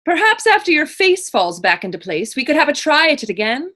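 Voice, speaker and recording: A woman's voice: sits high, sing-song, fairly quick, pushed and bright, full character voice. A woman playing a plainspoken, teasing but compassionate woman in readers theater, speaking frank, folksy dialect. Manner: folksy, frank questioning in archaic Irish dialect. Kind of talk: readers theater dramatic dialogue.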